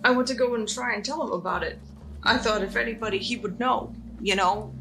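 A woman playing a blunt, wary female traveler who talks in a halting, informal way. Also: on the high side, gravelly, music in the background, low-quality recording. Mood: uneasy. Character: blunt, wary, hungry female ship passenger.

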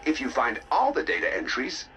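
An actor playing a curious male researcher of ancient alien ruins in a video game, explaining findings in a measured, articulate, academic way. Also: medium pitch, huge pitch swings, pushed and bright, soft background music. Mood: businesslike. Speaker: mission contact explaining decoded logs. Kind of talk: scripted game narration, expository.

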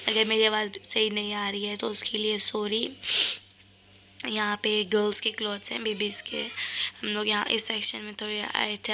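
A woman's voice: mid-range voice, creaky, sharp tone, slight background noise. A woman from Pakistan with a sore throat, narrating a casual shopping vlog in a cheerful, chatty way and pointing things out to her viewers. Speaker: vlogger. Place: voice-over recorded later, indoors.

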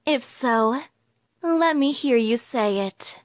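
A woman's voice: on the high side, lively intonation, slow, no echo. A woman voicing a seductive, commanding anime temptress in an ASMR roleplay.

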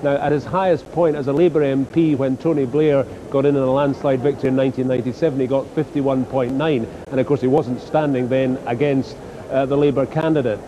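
A man's voice: mid-range voice, steady tone. Middle-aged male reporter at a count. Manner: brisk, informative reporting. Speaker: field reporter. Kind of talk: live news report. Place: sports hall count venue. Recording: dull broadcast audio with hall noise.

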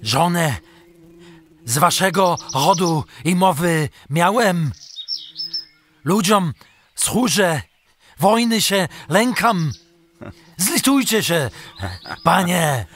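An actor playing a frightened trader held captive, pleading haltingly and servilely for mercy in a radio drama. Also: male voice, high-pitched, animated, a bit bright, heavily acted. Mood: fearful, pleading. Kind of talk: illustrated radio drama acting.

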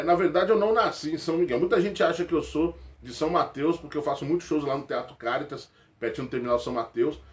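Animated slangy guy, cracking jokes and laughing, close webcam in a little room. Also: mid-range voice. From Rio de Janeiro, Brazil. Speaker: comedian answering viewer questions. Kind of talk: casual chat to camera. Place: small indoor room with toy shelves. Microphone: webcam close to face.

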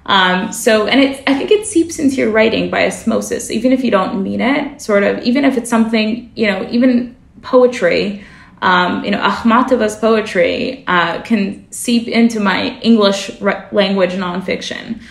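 A woman journalist whose first language is Russian speaks thoughtfully as an interview guest, pausing on fillers and correcting herself mid-sentence. Her voice has a gravelly edge, and the recording carries a roomy echo. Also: on the low side. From family from the former Soviet Union. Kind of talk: reflective interview conversation.

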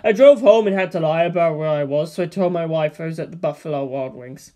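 A crude, shifty office man, as acted in a script reading, talks at a middling pitch. He sounds like a coworker at the watercooler in an audio film drama. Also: a little fast, mellow, lightly acted. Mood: matter-of-fact.

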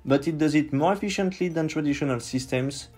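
Male host, strong French accent, calm explainer voice-over, soft music behind. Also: on the high side, fairly quick, breathy edge. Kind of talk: scripted explainer narration.

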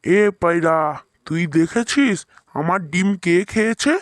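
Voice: medium pitch, lively intonation, a little slow, no echo, clean studio sound, natural voice. A performer voicing an angry, boastful male tiger who threatens and blusters in a children's fairy-tale cartoon. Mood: furious.

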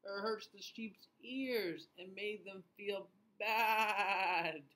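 A middle-aged man reading a picture book aloud to young children, expressively, with questions and pauses for them to answer. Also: sits high, pushed and bright. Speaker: children's class host and teacher reading aloud. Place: small indoor room.

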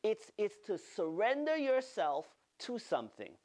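A man in his thirties, a pastor delivering an animated online sermon from a studio, repeating words for emphasis. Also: high-pitched, measured pace. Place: studio with green-screen backdrop.

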